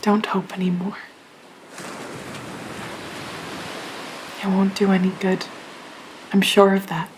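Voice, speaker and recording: A woman plays a gentle, ailing young woman of a period audio drama. Her mid-pitched voice is breathy and half-whispered, tender and resigned. A faint wash of noise sits behind her. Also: level tone, moderate pace, close to an everyday voice.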